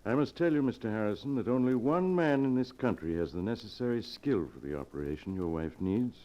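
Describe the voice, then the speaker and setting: An actor playing a grave, formal doctor in 1940s radio drama style, solemn and measured. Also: male voice, medium pitch, animated, natural voice. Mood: formal, careful. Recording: muffled 1940s radio recording.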